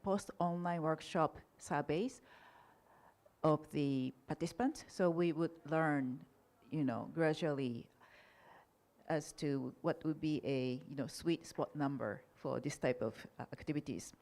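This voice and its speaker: A woman whose first language is Japanese, answering audience questions at an academic conference in a polite, measured way with frequent fillers. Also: low voice, darkish tone.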